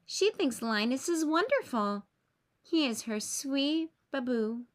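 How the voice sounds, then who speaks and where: A woman reading a children's story aloud with expressive narration aimed at young listeners. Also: high-pitched, slow pace. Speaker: storybook reader.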